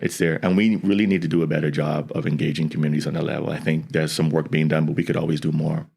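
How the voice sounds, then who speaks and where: A man's voice: extremely low pitch, level tone, fast, vocal fry, darkish tone, breathy edge. A man from the Bahamas, a scientist and educator, talking earnestly in an interview, often restarting his sentences. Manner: earnest, with frequent restarts and "you know". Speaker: guest, environmental scientist and educator. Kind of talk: conversational interview.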